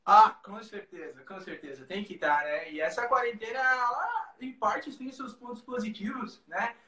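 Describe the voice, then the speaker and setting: A Brazilian teacher speaks in an easygoing, friendly way on a video call. His voice is high and bright. He sounds far from the mic in a roomy space. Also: normal speed. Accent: Brazilian Portuguese. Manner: friendly, relaxed, many "né" tags. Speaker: language teacher. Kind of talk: guest on livestream.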